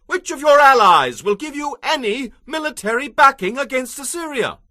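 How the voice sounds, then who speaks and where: An actor playing a haughty, taunting royal envoy who delivers a threat with scornful questions in a dramatized audio Bible. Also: male voice, extremely high pitch, very animated, normal speed, pushed and bright, dry recording, clean studio sound, full character voice. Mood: scornful challenge. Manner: challenging, declamatory, scornful questioning.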